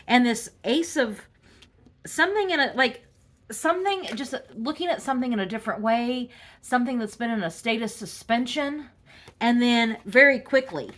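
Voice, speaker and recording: A woman reading tarot cards in a casual, halting monologue full of fillers, sounding congested from a cold. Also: on the high side, fairly bright tone. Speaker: tarot reader.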